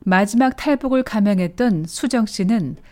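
A woman narrating a documentary news feature in a measured, formal script. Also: mid-range voice, animated, dark tone. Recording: narration over faint music.

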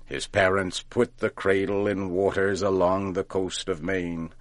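Guy reading a folk tale, low voice, takes it really slow, every word clear. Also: level tone, no room sound. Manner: deliberate, carefully enunciated. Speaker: storyteller, narrator. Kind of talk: scripted folk tale narration for learners.

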